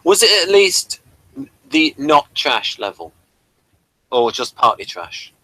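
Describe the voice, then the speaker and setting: A man with a fairly high, bright voice hosts a casual review discussion. His intonation is very animated, and he talks in halting runs, often repeating a phrase. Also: no room sound, rough recording. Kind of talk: casual chat, episode review.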